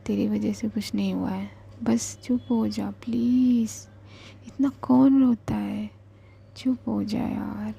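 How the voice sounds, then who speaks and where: A woman's voice: mid-range voice, steady tone, takes it slowly, vocal fry, dark tone, husky, full character voice. A woman voicing a caring, teasing young woman who pleads and soothes as she comforts her love. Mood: soothing.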